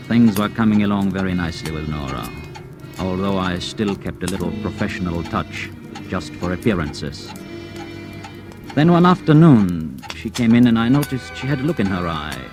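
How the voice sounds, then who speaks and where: An actor playing a deep-voiced scheming fake analyst. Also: male voice, close to an everyday voice. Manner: smooth, calculating, coolly confiding narration. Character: scheming male con man posing as analyst. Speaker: narrator and fake psychoanalyst. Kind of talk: 1940s radio drama acting. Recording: old radio broadcast with faint music.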